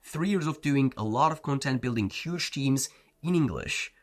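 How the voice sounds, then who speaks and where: A man's voice: mid-range voice, lively intonation, normal speed. Blunt casual man, Turkish native. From Turkey. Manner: blunt, casual, slangy, says "bro" and "like" often. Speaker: self-improvement coach. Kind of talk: motivational talk to camera.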